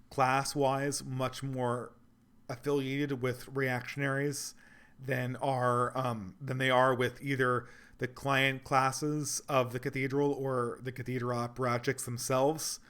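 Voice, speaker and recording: A man's voice: medium pitch, a bit bright, smooth. A man hosting a casual livestream Q&A, losing his voice, rambling earnestly and thinking aloud as he answers viewers. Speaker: livestream host reading donations.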